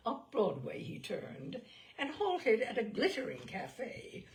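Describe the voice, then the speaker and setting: A woman reading a literary short story aloud in an expressive, measured and deliberate way, with theatrical phrasing. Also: a little high, creaky.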